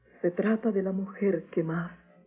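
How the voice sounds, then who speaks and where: A lo-fi old radio recording of a solemn, knowing nun in a radio soap. Her voice is very husky, and she speaks in measured, dramatic phrases. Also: mid-range voice, steady tone, warm tone. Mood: grave, sorrowful. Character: solemn, knowing nun guarding a painful secret.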